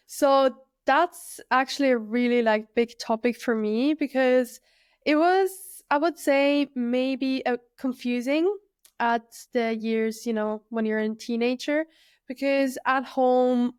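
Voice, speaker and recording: A woman in her early thirties from Switzerland, a freediver guest speaking in a relaxed, thoughtful way with many fillers. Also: fairly high pitch, slow pace, clear, resonant tone, no room sound, clean studio sound. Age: 30s. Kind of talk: casual conversational interview.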